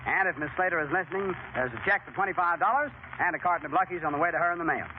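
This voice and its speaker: A man's voice: on the high side, rapid-fire, phone-quality sound. A man hosting a 1930s radio quiz with chatty showmanship, heard on a scratchy broadcast.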